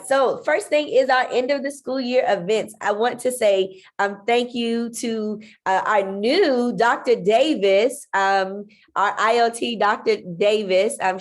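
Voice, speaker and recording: A woman with a stuffy, nasal voice from congestion, running an online parent meeting as a school leader and talking in a friendly way with frequent ums. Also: medium pitch. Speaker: school principal.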